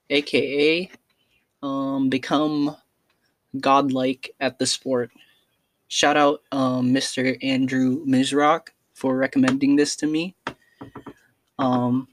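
A young adult speaks slowly and haltingly in a casual podcast monologue, full of ums and restarts but earnest underneath. The pitch stays fairly level, and the voice sounds close and dry with no room echo. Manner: hesitant, many fillers and restarts. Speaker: student podcaster.

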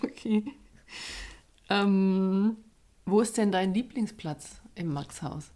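A woman's voice: mid-range voice, takes it slowly, warm tone. A woman interviewer asking casual, conversational questions. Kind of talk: podcast interview.

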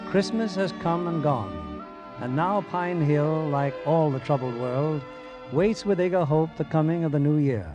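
A man's voice: on the high side, animated, measured pace, muffled recording. A man giving scripted narration as the announcer of a 1940s radio drama. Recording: old radio recording with music bed.

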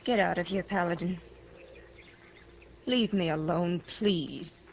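Guarded, weary American frontier widow. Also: female voice, lowish voice, slow pace, creaky, a little mellow. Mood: hurt, resigned. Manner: guarded, wounded dignity. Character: proud, weary young outlaw's widow on the frontier. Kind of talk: 1950s radio western acting. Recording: muffled, slightly noisy old radio broadcast.

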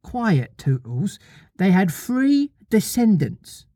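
A performer doing a patient, motherly storybook girl, unhurried. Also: medium pitch, sing-song, no room sound, clean studio sound, voice pushed up, full character voice. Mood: patient, insistent. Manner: patient, gently scolding storyteller. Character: gentle, motherly young girl telling a bedtime story.

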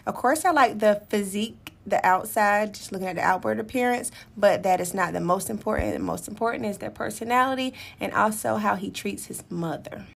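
A woman answering an interview question in a measured, earnest way. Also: medium pitch, expressive, vocal fry.

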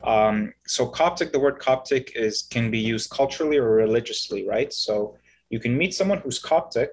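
A man in his thirties, from Egypt and raised in Canada, telling his story as an interview guest in a halting, explanatory way, often checking in with "right?". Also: medium pitch, expressive, a little hiss or hum, rough recording. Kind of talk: conversational storytelling.

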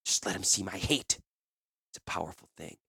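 A man's voice: medium pitch, wildly swooping pitch, fast talker, pushed and bright, no echo, voice pushed up, full character voice. A man voicing a grim, hateful warrior woman with intense menace in a fantasy audiobook. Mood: cold, hushed.

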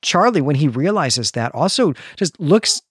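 A man's voice: fairly high pitch, very animated, unhurried, fairly bright tone, breathy edge, no echo. A man co-hosting a podcast, thoughtfully talking through literary analysis in a conversational way, building points in long, rephrased clauses. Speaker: podcast co-host.

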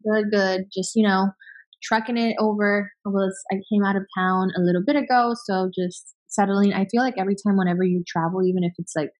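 A woman in her thirties, born in Venezuela, telling her story as a podcast guest in chatty, quick run-on sentences with many fillers. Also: mid-range voice, steady tone, a little mellow, low-quality recording. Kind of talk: casual conversational interview.

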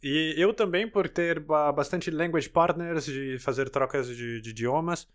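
A Brazilian man with a neutral Brazilian Portuguese accent, a podcast host asking questions in measured, searching phrases. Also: a little high. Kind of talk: interview questions.

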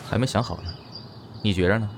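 A man acting in an audio drama as a calm, laid-back young film star who speaks casually in short, unhurried replies. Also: on the low side, gravelly, a little hiss or hum. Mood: casual, noncommittal.

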